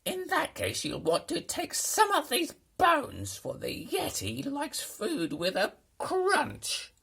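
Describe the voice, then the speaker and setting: Man putting on a loud, shrill lady monster. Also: wildly swooping pitch, takes it slowly, gravelly, full character voice. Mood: bossy, helpful. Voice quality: shrill falsetto. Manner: exclaiming, over-the-top. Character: indignant female mountain monster, loud and shrill.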